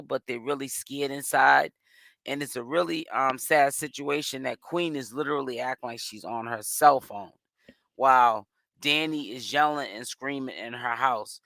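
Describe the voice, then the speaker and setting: A hoarse-voiced man talks as a commentary host, casually running through a gossip update. His pitch is middling for a man. The sound is dry and close, with no room around it. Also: pushed and bright, dry recording.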